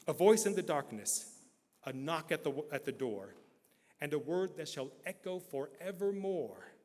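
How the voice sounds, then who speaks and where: A man's voice: fairly high pitch, a little mellow. A man giving a dramatic recitation of a poem, rising and falling for effect, in a large church hall. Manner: theatrical, swelling and dropping for effect. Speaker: poetry reciter. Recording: long reverb of a church hall.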